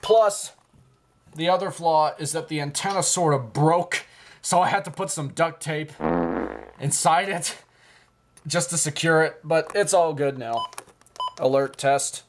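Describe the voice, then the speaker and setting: A man giving an informal, excitable show-and-tell commentary, often interjecting as he goes. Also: fairly high pitch, a little slow, long pauses. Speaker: video host. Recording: clearly noisy home recording.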